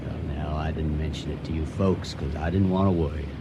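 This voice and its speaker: An actor playing a folksy, slippery younger boat navigator in a radio drama, hearty and over-reassuring. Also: male voice, a bass voice, fairly even intonation, fairly quick, very noisy. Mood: guarded, reassuring.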